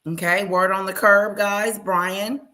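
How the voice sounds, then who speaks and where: A woman hosting a casual live stream, chatting and greeting viewers by name in a loud voice. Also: medium pitch, fairly even intonation, slow. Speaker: livestream host reacting to news clips.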